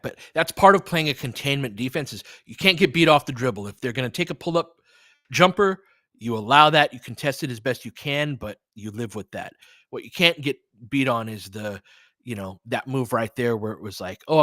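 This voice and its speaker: A man's voice: medium pitch, expressive, brisk, sharp tone, dry recording. Man calling basketball, close and dry. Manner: candid, colloquial partisan fan reactions with swearing. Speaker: fan play-by-play commentator and host. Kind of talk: live basketball play-by-play commentary with casual analysis.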